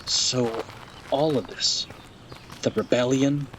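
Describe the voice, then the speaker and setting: A man's voice: mid-range voice, steady tone, takes it slowly, fairly bright tone, slight background noise, rough recording. A man playing a modest, curious young apprentice storyteller in a fantasy audio drama.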